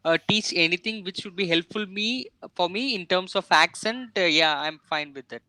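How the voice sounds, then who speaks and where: A man's voice: sits high, lively intonation, moderate pace, sharp tone, clear, resonant tone, no room sound, low-quality recording. A young South Indian man with an Indian English accent, speaking hesitantly and politely on a video call with his English tutor. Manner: hesitant, many uh fillers, polite. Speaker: software developer, English learner. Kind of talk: online lesson conversation.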